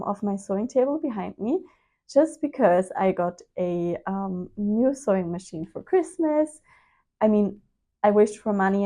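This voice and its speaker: A woman in her thirties vlogging about sewing in a chatty, unhurried way, slightly nasal from a cold, on a lapel mic in her home sewing room. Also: medium pitch, warm tone. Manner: chatty, unhurried, with frequent ums. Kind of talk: casual chat to camera. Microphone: clip-on lapel mic.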